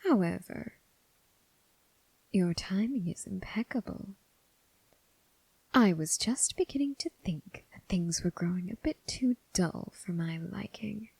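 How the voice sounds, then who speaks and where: Breathy, whispering seductive demon woman, voiced by an actress. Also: mid-range voice, darkish tone, no room sound, full character voice, rough recording. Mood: coolly amused. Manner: slow, teasing, formal phrasing with long pauses. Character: seductive, menacing female crossroads demon toying with prey. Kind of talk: ASMR roleplay, whispered monologue.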